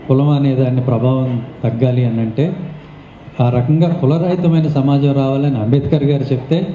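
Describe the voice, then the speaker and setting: A man giving a public memorial speech as a guest speaker, explaining things in a conversational way. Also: medium pitch. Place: meeting hall. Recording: muffled, echoey hall recording with noise.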